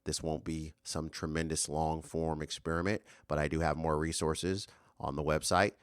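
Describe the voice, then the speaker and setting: A man's voice: rumbling low, little pitch movement, normal speed, clean tone, no echo, studio quality. A man in his thirties hosting a solo motivational podcast, earnest and rambling with fillers and restarts. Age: 30s. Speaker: podcast host.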